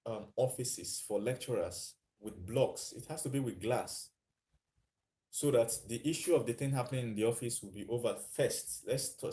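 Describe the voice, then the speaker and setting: A man's voice: medium pitch, dark tone. Man talking live with viewers. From Nigeria. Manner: thinking aloud with frequent fillers; reads and answers viewer comments. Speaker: live stream host. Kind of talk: casual live commentary.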